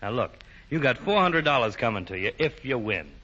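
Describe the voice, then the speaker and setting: An old, dull-sounding radio drama gives us a slick racetrack crook. His voice is medium-pitched and hard, with a lively rise and fall. He insinuates and threatens coolly in slangy tough talk. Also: brisk. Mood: sly, persuasive. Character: sly, slick crooked horse owner, coolly menacing. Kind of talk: 1940 radio drama acting. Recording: dull vintage 1940 radio recording.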